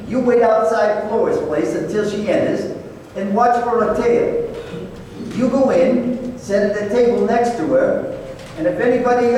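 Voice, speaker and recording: A man's voice: very high voice, darkish tone. A man playing a sarcastic, needling but loyal private-eye partner in an old time radio drama. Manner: sardonic, bantering. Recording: echoey hall, distant mic, faint noise.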